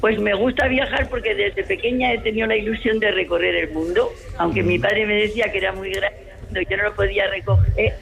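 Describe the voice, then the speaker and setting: A woman's voice: medium pitch, steady tone, fairly quick, vocal fry, rough recording. An older woman calling in to a radio show, joking playfully with the host. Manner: witty, playful. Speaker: radio caller. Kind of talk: phone-in chat.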